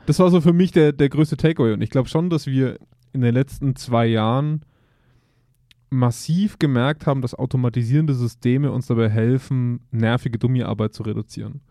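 A man's voice: medium pitch, moderate pace, warm tone, no room sound. A man co-hosting a podcast from an office, chatting casually and making dry, wry remarks. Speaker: podcast co-host. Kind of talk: casual chat.

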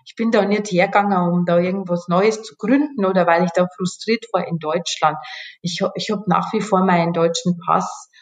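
A woman's voice: fairly low pitch, steady tone, moderate pace. Chatty woman in an echoey room. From Bavaria, Germany. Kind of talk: casual conversational interview.

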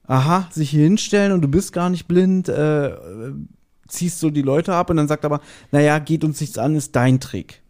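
A man hosting a podcast, casually retelling a story in an animated way and acting out short quotes. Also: a little high, moderate pace. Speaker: podcast host. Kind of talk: casual chatty episode recap.

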